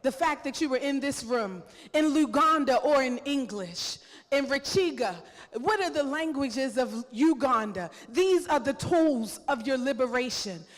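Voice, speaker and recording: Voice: high-pitched, lively intonation, measured pace, fairly bright tone. An event organizer giving a rousing convention speech, rallying the room with rhetorical questions. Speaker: event organizer introducing a guest. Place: large convention hall. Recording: echoey hall.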